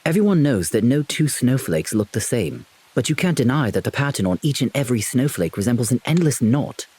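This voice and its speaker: A woman plays a haughty, suspicious young prince in an audio drama. The voice is husky and swings widely in pitch, with an imperious edge. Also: very animated, brisk, mellow, exaggerated voice. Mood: pensive. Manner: scoffing, imperious. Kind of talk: audio play acting.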